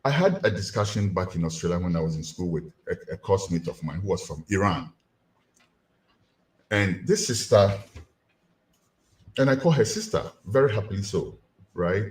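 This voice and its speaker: Ghanaian-accented male lecturer, lively swooping delivery, rhetorical and animated. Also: sits low, moderate pace, pauses often. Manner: animated, rhetorical questions to the audience. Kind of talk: community lecture.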